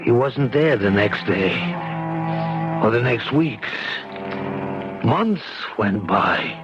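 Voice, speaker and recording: A brooding old immigrant gardener. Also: male voice, lowish voice, animated. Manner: slow, heavy, grave delivery. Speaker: narrator and lead character. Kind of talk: 1970s radio drama acting. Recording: dull lo-fi radio broadcast with music.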